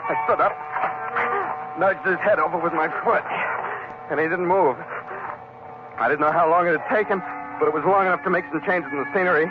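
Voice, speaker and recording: Tough, wry sea captain , played by a man, slightly high voice, muffled vintage radio drama. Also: fairly quick, long pauses, loud music behind the voice, natural voice. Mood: narrating, steady. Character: tough, wry American ship's skipper. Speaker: lead actor and narrator. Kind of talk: 1940s radio acting, first-person narration. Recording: muffled, noisy 1940s radio recording.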